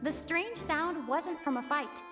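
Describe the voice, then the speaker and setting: A calm young investigator. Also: female voice, sits high. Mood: thoughtful. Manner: calm, analytical, deliberate reasoning. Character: young woman traveler, calm sharp investigator. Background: game score music.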